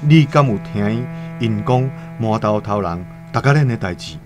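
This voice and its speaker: An actor playing a reflective seafaring trader in a radio drama, with a Taiwanese accent and measured storytelling. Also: male voice, lowish voice, lively intonation, natural voice. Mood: grave, explaining. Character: male seafaring trader, reflective and matter-of-fact. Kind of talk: radio drama monologue and dialogue. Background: faint music.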